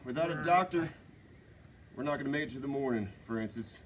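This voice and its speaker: A man's voice: medium pitch, a little fast, a little hiss or hum, close to an everyday voice. A man reading a desperate young outlaw at a script table read, urgent and pleading. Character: desperate young male outlaw turning on his gang.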